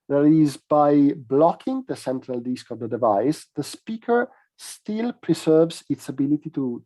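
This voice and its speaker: A man giving a technical conference presentation as a researcher, explaining in a measured way with hesitant pauses mid-phrase. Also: medium pitch, dark tone.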